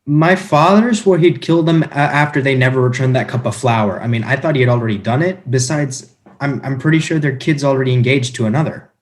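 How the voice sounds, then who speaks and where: A man's voice: mid-range voice, fairly quick, a little hiss or hum, close to an everyday voice. A man playing a vain, dim, whiny young nobleman who stammers and boasts, in a comic stage play reading.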